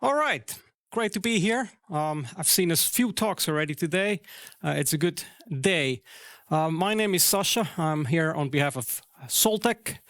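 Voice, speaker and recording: A man's voice: a little high, expressive, a little slow, fairly bright tone, no room sound, clean studio sound. A man in his forties from Switzerland speaking as a consultant at a business conference, conversational and often correcting himself mid-sentence. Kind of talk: interview and conference presentation.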